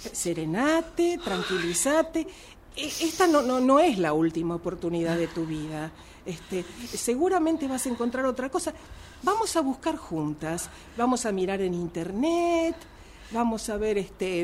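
A woman playing a supportive, insistent friend in a radio drama, with an Argentine accent and hesitant, coaxing phrasing. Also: medium pitch. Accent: Argentine Rioplatense. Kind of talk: radio drama workshop dialogue. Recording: noisy amateur workshop recording.

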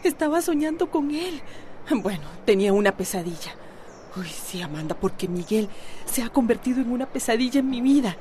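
An actress playing an anguished but resolute Costa Rican wife in a radio soap opera. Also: female voice, on the high side, sing-song, a little fast, mellow, a little hiss or hum. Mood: confiding, weary. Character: anguished, resolute wife standing up to abusive husband.

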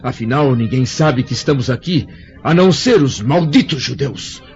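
A man's voice: mid-range voice, expressive, normal speed, darkish tone, lightly acted. Grave Roman senator, played by a man, lo-fi. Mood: resigned. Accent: Brazilian. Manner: formal, grave, theatrical. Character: dignified, troubled Roman senator held prisoner. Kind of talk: Brazilian radio drama acting. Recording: dull lo-fi radio drama, faint music.

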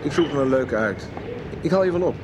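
A man's voice: medium pitch, lively intonation, a little fast, close to an everyday voice. An actor playing a friendly, teasing young man in a 1990s radio soap. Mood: teasing, casual. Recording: loud city street with traffic.

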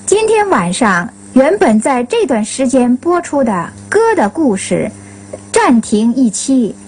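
A woman announcer reading a formal scripted radio announcement with measured, deliberate enunciation. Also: high-pitched, sing-song, darkish tone, breathy edge.

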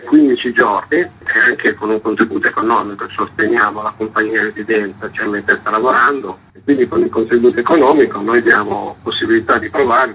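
A man with a husky voice, a theatre's artistic director, explaining a programme in an interview. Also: lowish voice, bright, forceful tone, slight background noise. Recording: dull lo-fi radio interview audio.

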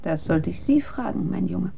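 A woman's voice: fairly low pitch, animated, normal speed, vocal fry, dark tone, a little hiss or hum. An actress in a radio drama playing a kindly, doting old woman with a fond, motherly way of talking. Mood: concerned. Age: older. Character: kindly, doting old lady, devoted contest spectator.

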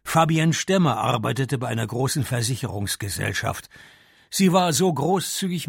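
Wry private eye in a radio drama, deadpan sarcasm, creaky rough voice. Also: male voice, medium pitch, dry recording, studio quality. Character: dry, sardonic male private detective. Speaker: detective narrating his own case. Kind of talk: radio play narration and dialogue.

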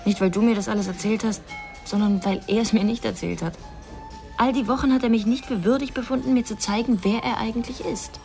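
An actress playing a hurt, proud, quietly resolute woman in a radio drama, speaking with restraint. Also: on the high side, fairly even intonation, brisk, vocal fry, faint music behind.

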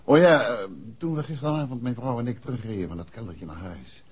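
An actor playing a composed, courteous and shrewd amateur detective in a 1960s Dutch radio play. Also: male voice, mid-range voice, brisk, husky. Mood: thoughtful. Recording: dull, muffled vintage radio recording.

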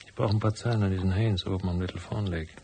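Hard-boiled private eye, low and gravelly, terse and cynical, radio-drama style. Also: male voice, steady tone, fast talker, darkish tone, a little hiss or hum. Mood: dry, wary. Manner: terse, dry, matter-of-fact. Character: hard-boiled, cynical male private detective, laconic and world-weary. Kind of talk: German radio drama acting and first-person narration.

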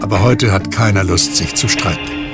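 A man narrating a German radio play from a script in a measured, expository manner. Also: on the low side, over loud music.

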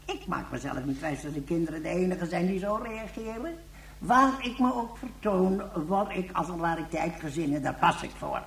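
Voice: medium pitch, expressive, normal speed, creaky. An actor playing a bitter, cantankerous old recluse, scornful and emphatic, in a science fiction radio drama. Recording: roomy echo.

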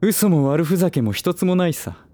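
Suave, teasing man acting a lilting gentleman schemer. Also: on the high side, lively intonation, normal speed, dry recording, close to an everyday voice. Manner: theatrical, smug, playfully condescending. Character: suave teasing older man, playfully villainous. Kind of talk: voice drama acting.

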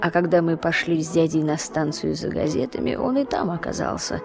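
A woman's voice: sits low, lively intonation, brisk, gravelly, over music. A woman narrating childhood memories as scripted storytelling in a radio play, in a reflective way. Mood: calm, reminiscing.

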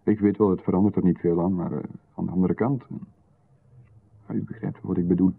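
An actor playing an intrusive, oddly demanding male visitor, calm and coolly insistent. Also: low voice, fairly quick, creaky, slightly rough, heavily acted. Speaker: radio drama actor. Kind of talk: 1990s radio play acting. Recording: tinny narrow-band radio sound.

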